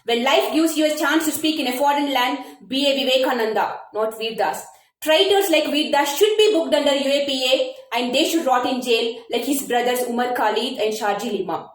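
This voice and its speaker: A woman's voice: high voice, normal speed, roomy sound, rough recording. A woman with an Indian accent, a radio jockey delivering an indignant, declamatory scripted monologue built on one repeated refrain. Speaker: radio jockey giving commentary.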